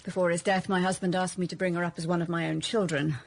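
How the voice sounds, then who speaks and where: Cold, disdainful lady of the house , female actor, low creaky voice, period radio drama, faint noise. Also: level tone, fast, natural voice. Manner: haughty, clipped, disdainful. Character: cold, haughty, spiteful Victorian aunt, lady of house.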